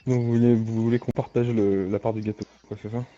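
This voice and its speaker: A man roleplays a formal, probing crime boss with a low, flat delivery. He stays composed, and the game voice chat sounds dull and lo-fi. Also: fast talker, lightly acted. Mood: cool, probing. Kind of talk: improvised online roleplay dialogue.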